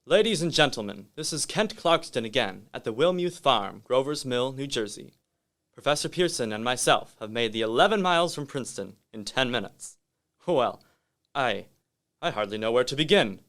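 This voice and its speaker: A man's voice: mid-range voice, animated, moderate pace, a bit bright. A man playing an earnest radio news reporter in a radio play, asking questions and reporting formally. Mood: breathless reporting.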